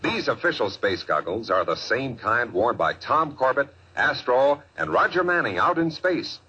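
A man's voice: medium pitch, very animated, normal speed, sharp tone, breathy edge. A man announcing a 1950s children's radio advertisement with an emphatic, enthusiastic sales manner. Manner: emphatic, addresses young listeners. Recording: dull vintage radio recording.

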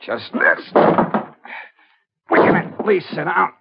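An actor playing a cool, wisecracking private detective, breezy and hard-boiled, in 1940s radio style. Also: male voice, a little high, sing-song, takes it slowly, gravelly, heavy background noise, heavily acted. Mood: dry, sizing up. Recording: muffled old radio recording.

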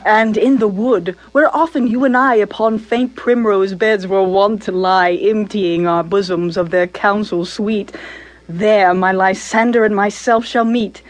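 A woman's voice: mid-range voice, steady tone, a little slow, close to an everyday voice, low-quality recording. An actress playing a devoted, resolute young Athenian woman in love, speaking Shakespearean verse sincerely in an audio theater production. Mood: earnest. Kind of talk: full-cast Shakespearean audio theater acting.

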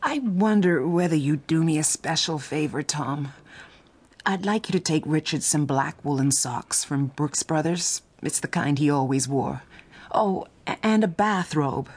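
A woman's voice: low voice, lively intonation, a little slow, darkish tone, husky, heavily acted. An actress playing a genteel, doting middle-aged mother, polite and hesitant, in a radio drama. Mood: fond, hesitant.

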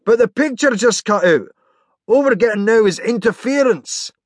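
An easygoing, wry Scottish researcher in an audiobook, acted by a male narrator. He is loud and colloquial, pitched high with lots of swing, in a dry recording. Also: lively intonation, normal speed, no echo.

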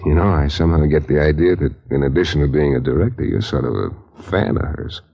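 A man's voice: a bass voice, quick pace, vocal fry, mellow, slightly rough, lo-fi sound, heavily acted. An actor playing a wry, hard-boiled male private detective who narrates in first person, in 1940s radio acting. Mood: dry.

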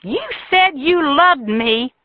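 A narrator voicing a furious, jilted young Southern woman who screams her accusations in a high, shrill voice. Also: expressive, slow, no echo, highs cut off. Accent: American Southern.

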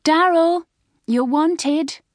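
Woman as a boisterous high-voiced schoolgirl. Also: extremely slow, no echo, studio quality. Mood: shouting. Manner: calling out, exclamatory. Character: lively, boisterous teenage schoolgirls greeting friends.

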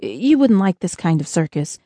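A woman's voice: fairly high pitch, expressive, normal speed, darkish tone, dry recording, clean studio sound, voice pushed up. A woman voicing a shy young boy in an audiobook, hesitant and informal in the way of talking. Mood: urgent, hushed. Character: young boy, awed, shy, casual-talking American kid.

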